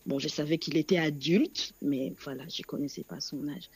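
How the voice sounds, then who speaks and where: A soft-spoken woman in her thirties, originally from Congo, phones in to a radio program to share her story. She speaks haltingly, pausing often and filling the gaps with small hesitations. Her voice comes across dry and close, with no room around it. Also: sits low, breathy edge, dry recording. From Democratic Republic of the Congo. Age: 30s. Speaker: caller. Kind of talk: personal testimony on a radio call-in.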